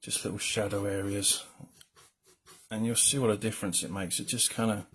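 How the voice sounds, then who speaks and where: A man with a low, mumbling voice gives casual, rambling commentary while drawing on a livestream as a comic colorist, with self-deprecating asides. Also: steady tone, moderate pace, a bit bright, a little hiss or hum.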